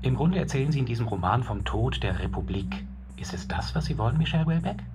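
An actor playing a probing radio interviewer who puts pressing questions. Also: male voice, medium pitch, expressive, fast, over loud music, lightly acted.

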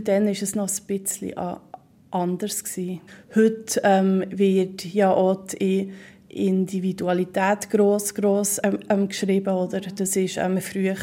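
Slow Swiss woman who stutters. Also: medium pitch, mellow. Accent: Swiss German. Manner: stutters, with repeated syllables and word blocks. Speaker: interviewee. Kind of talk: personal interview.

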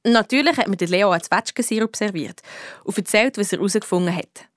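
A plucky young schoolgirl sleuth played by a woman tells her story in a children's radio detective play. She has a Swiss accent and a middling-to-high voice, and thinks aloud in an expressive, colloquial way, curious and cheeky. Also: fast, dry recording, close to an everyday voice. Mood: confiding, annoyed. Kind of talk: children's radio detective play, first-person narration and dialogue.

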